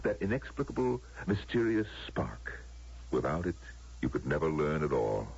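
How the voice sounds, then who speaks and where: An actor playing a solemn, knowing man who grants wishes, gravelly and persuasive in a radio drama style. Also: rumbling low, sing-song, darkish tone, full character voice. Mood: grave, cautioning. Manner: measured, grave, persuasive, theatrical. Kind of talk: American radio drama acting. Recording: muffled, lo-fi old radio broadcast.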